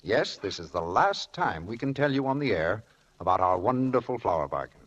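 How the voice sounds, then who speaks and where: A man working as a radio announcer, reading a scripted 1940s advertisement in a slightly husky voice. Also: lowish voice, big pitch swings, moderate pace, fairly bright tone. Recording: muffled old radio recording.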